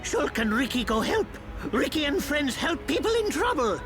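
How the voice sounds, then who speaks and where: A man voicing a small, cheerful, brave furry creature in an animated game story, childlike and speaking of himself in the third person with broken grammar. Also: extremely high pitch, moderate pace, music in the background. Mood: plucky, eager.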